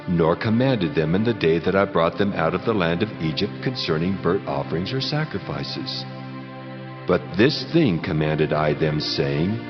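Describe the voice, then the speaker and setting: An actor playing God as a stern, majestic deity, solemnly declaiming commands in a dramatized scripture reading. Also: male voice, deep voice. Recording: cavernous long reverb, muffled, music underneath.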